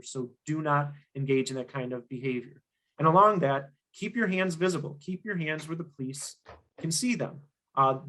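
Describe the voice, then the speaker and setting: Young guy teaching a workshop, bit hoarse, earnest, lots of ums, voice sits a little high. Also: fairly bright tone, poor audio. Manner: earnest, emphatic, with frequent ums and restarts. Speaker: activist workshop presenter. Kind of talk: instructional presentation.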